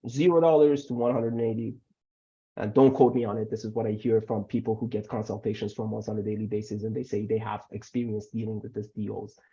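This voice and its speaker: A man whose first language is Farsi, working as an immigration lawyer, gives animated webinar answers and often repeats words for emphasis. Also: fairly low pitch, fairly quick. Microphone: video call. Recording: muffled video call audio.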